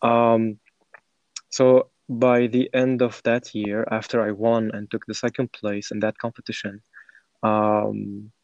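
A man, telling his story in a halting, reflective way as a podcast guest. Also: lowish voice, flat delivery, a little mellow, no room sound, muffled recording. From Syria. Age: 20s. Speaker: podcast guest, former startup program participant. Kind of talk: conversational personal storytelling in an interview.

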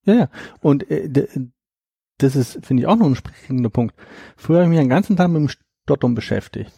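A man being interviewed about his book, talking in a relaxed, hesitant way with repeated words and fillers. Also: medium pitch, a little fast, vocal fry, husky, dry recording. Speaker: guest, author of a book on stuttering. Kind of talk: conversational interview.

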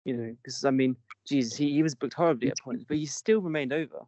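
A man's voice: medium pitch, fast talker, darkish tone, no echo. A young man hosting a podcast in casual chat, jokey and self-mocking, often stumbling and restarting his words. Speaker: podcast host.

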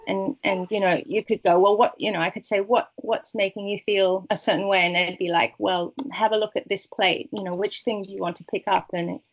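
A woman's voice: mid-range voice, fairly even intonation, brisk, few pauses. Rambling woman author, dull lo-fi sound. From Peru. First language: Spanish. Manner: rambling, warm, many restarts and fillers. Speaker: author guest. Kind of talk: casual interview chat.

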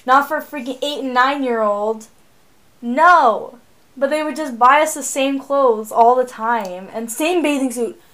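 A teenage girl co-hosting a podcast, chatting casually in an animated, rambling way, often laughing. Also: sits high, a little slow. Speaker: podcast co-host. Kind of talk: casual chat.

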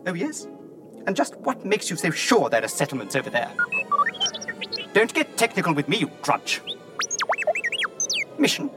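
A man's voice: on the high side, huge pitch swings, normal speed, long pauses, loud music behind the voice. A man playing a fussy, anxious, self-pitying robot in a radio drama, prissy and theatrical in his complaints. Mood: huffy, stubborn. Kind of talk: dramatised radio science-fiction acting.

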